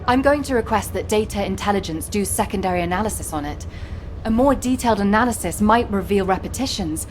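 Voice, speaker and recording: A woman's voice: fairly high pitch, a little hiss or hum, natural voice. A woman playing an earnest, playfully teasing young operations officer in a sci-fi video game, speaking articulately and expressively.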